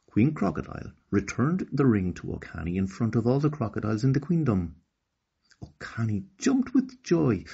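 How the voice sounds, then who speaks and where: A man narrating a children's story as an expressive storyteller. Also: fairly low pitch, creaky, breathy edge, lo-fi sound.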